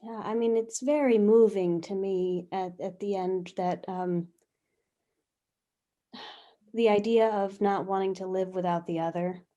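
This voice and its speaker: A woman actor answering a panel question over a video call, hesitant and soft-spoken. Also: mid-range voice, steady tone, a little slow, muffled recording.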